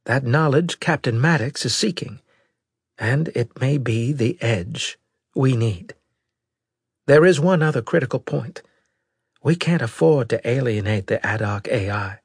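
A man's voice: mid-range voice, lively intonation, unhurried, husky, no echo, studio quality, pitched above the performer's own voice. A man voicing an earnest, loyal female military brigadier in an audiobook, worried but resolute, with formal, emphatic speech. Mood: earnest, urgent. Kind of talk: audiobook character dialogue.

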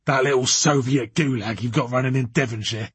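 A man voicing a loud, blustering, angry boss in an audiobook, brusque, sarcastic and humorless. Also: medium pitch, steady tone, a little fast, breathy edge, no room sound.

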